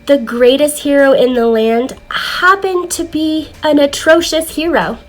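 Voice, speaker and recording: A woman reading a children's book aloud in an expressive, deliberate, theatrical storytelling manner. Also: high voice.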